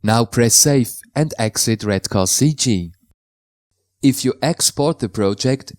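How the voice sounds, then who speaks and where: A man from Switzerland, a native Swiss German speaker with a Swiss German accent, calmly explaining how to install and use software in a tutorial voice-over, with slightly non-native phrasing. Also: mid-range voice, no room sound, studio quality. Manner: careful, plain instructional delivery in slightly non-native English.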